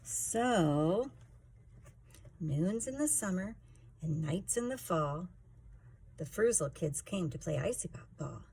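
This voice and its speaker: A woman narrates a children's picture book in rhyme. Her pitch moves in lively swings and her voice has a husky touch. She is theatrical and emphatic with the verse. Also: slow, pauses often. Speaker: children's story reader. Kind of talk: expressive rhyming storybook narration.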